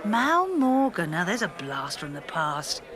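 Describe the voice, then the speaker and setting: A woman's voice: on the low side, very animated, unhurried. Chatty older woman, swooping intonation. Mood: chatty, reminiscing. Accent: British. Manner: chatty, musing. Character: chatty, kindly older village woman, retired teacher, nostalgic. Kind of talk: naturalistic radio drama dialogue. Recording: busy crowd and livestock market ambience.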